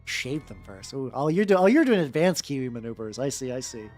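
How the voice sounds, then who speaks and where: A woman's voice: a bass voice, sing-song, a little fast, darkish tone. A woman streamer with a playful, joking manner, chatting casually and reading fanfiction aloud for comic effect. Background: background music.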